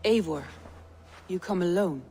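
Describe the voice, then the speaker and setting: A woman's voice: fairly low pitch, unhurried, dark tone, a little hiss or hum, lightly acted. An actress playing an anxious, questioning Viking woman of standing in a video game drama. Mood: fearful.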